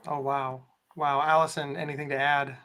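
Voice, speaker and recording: A man with a big booming voice hosts a live online discussion. He rambles and repeats words as he works toward his questions. His voice sits a little higher than most men's. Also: level tone, moderate pace, pushed and bright, clean tone. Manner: rambling with frequent restarts. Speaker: host. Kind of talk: live webinar moderating.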